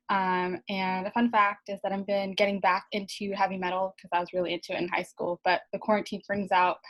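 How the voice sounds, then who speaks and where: Young woman rushing a quick intro. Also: mid-range voice, fairly bright tone, poor audio. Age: 20s. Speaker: panelist, medical student. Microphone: video call.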